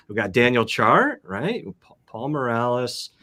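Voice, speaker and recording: A man hosts a casual livestream in a friendly, rambling way, greeting guests and reading names out loud. His voice sits at an ordinary middle pitch, and he speaks over a video call. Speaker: host.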